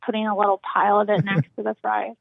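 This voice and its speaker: An American woman calling in to a show, blunt and judgmental, speaking in a measured way. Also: mid-range voice, flat delivery, vocal fry. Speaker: caller. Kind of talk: phone call-in chat. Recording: tinny phone line.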